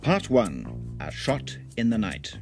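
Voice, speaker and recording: A man's voice: lowish voice, sing-song, slow pace. A man reading a scripted introduction as the announcer of a radio drama. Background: loud instrumental music.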